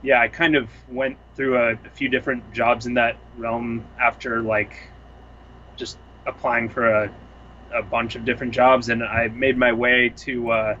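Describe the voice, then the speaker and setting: A man's voice: mid-range voice, level tone, unhurried, pushed and bright, dull audio, slight background noise. A young man from the Seattle area, a music producer guest chatting casually on a couch at home, rambling thoughtfully with lots of fillers. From Seattle area, US Pacific Northwest. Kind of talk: casual chat.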